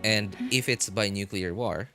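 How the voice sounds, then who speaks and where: Rambling low-voiced male streamer. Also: a little slow. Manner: rambling, restarts and filler words. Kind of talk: casual chat while gaming on a live stream. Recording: loud game music behind. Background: loud game music.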